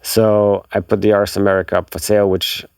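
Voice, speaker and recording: The deep-voiced man from Bulgaria in the podcast guest chair, close on a dry mic, slowly and haltingly thinking back over his stories. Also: level tone, a little mellow, smooth, no room sound. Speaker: podcast guest, car enthusiast. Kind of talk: casual interview storytelling.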